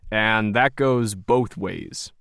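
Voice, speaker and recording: A man's voice: fairly low pitch, very slow, fairly bright tone, smooth, dry recording. A male narrator plays a bold woman hacker in an audio story. She is controlled and defiant, keeping things businesslike and guarded. The recording is dry. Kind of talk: audio fiction character dialogue.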